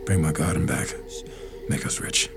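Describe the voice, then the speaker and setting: An actor playing a commanding mystic healer who speaks in a measured, cryptic, curt way in an audio drama. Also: male voice, very deep voice, fairly even intonation, gravelly, over music, full character voice. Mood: calm command. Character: calm, commanding male mystic healer and gardener.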